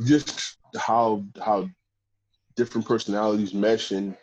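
A man, a professional football player, telling stories in a casual interview with a deep voice and a loose, rambling way of talking. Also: level tone, a little slow, a little mellow, poor audio. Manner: laid-back, rambling with restarts. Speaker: pro football player guest.

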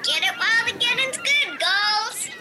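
A perky young mermaid voiced by a woman, very high and swooping, full of chipper excitement. It is audio-drama acting with noisy seaside ambience behind. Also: unhurried. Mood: cheery. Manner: perky, exclaiming.

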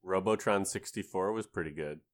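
A man on a podcast panel, chatting casually about video games with halting delivery and wry jokes. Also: low-pitched. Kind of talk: casual video game discussion.

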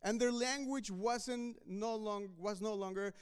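A man's voice: sits high, fairly even intonation, a little slow, smooth. A man from Mexico, preaching a sermon in a conversational way, often restarting and repeating words. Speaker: pastor.

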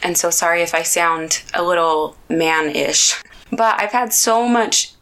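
Young woman host, hoarse raspy throat, gravelly, chatty and upbeat. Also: fairly low pitch, a little slow, a bit bright. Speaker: podcast host. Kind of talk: casual podcast chat.

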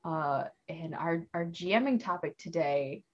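A woman's voice: deep voice, measured pace, lo-fi sound. A woman hosting a podcast about tabletop games, chatting casually and laughing often. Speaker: podcast host. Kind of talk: casual chat.

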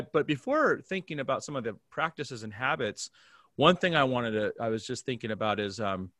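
An American man with a medium-pitched voice talks as a pastor being interviewed. He explains things in an earnest, conversational way and often checks that the listener follows. The sound is dry, with little room in it. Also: pushed and bright, dry recording. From Alaska, United States.

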